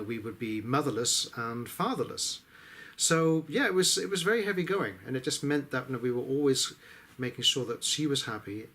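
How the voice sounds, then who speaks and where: A middle-aged man from the of England, a business coach, telling his life story in a reflective way with frequent pauses and hesitations. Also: mid-range voice, fairly bright tone. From England, Exeter. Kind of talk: personal life story told to camera.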